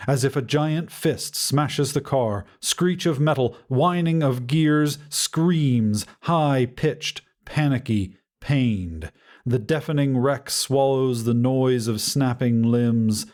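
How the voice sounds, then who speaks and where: A man narrating a scripted first-person story in a measured, dramatic way with deliberate pauses. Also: mid-range voice, darkish tone. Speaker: audiobook narrator.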